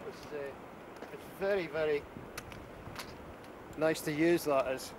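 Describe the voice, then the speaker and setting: A man chatting casually in an outdoor car park, agreeable and quick to laugh. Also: fairly high pitch, noisy background. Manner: relaxed, agreeable, laughs easily.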